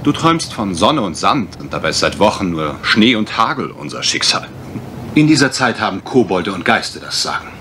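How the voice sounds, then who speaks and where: A man playing a dry-humoured, teasing gentleman detective in a German radio crime drama. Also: on the low side, big pitch swings, moderate pace. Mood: mock reproachful. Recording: noisy old radio play recording.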